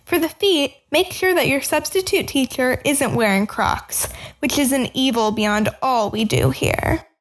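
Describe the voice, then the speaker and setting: A woman acts out a cannibal doctor with a notably high voice in a spooky comic radio play. The delivery is dry and matter-of-fact, treating ghoulish matters as everyday advice. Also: measured pace, low-quality recording. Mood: cheerfully ghoulish. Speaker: advice columnist.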